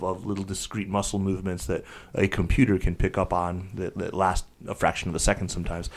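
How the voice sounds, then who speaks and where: A man hosting a podcast, chatting casually in a wordy, digressive way with an erudite vocabulary. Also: deep voice. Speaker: podcast host. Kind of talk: casual panel chat.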